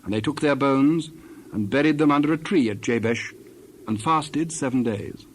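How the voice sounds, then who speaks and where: A man's voice: mid-range voice, normal speed, a little hiss or hum, low-quality recording. A man reading scripted Bible narration on an old cassette recording.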